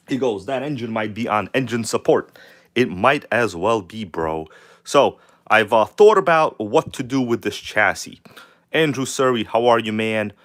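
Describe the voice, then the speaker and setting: A man hosting a casual live stream, his voice hoarse from a cold, hyped and greeting viewers by name. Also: on the low side, fairly bright tone. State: hoarse from a cold, nearly lost voice. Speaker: channel host. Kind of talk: live stream chat about RC cars.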